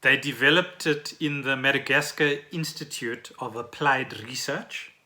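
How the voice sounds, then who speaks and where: A man's voice: medium pitch, measured pace, sharp tone. A South African man hosting a podcast, explaining trending news in a conversational way and often checking in with the listener. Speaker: podcast host.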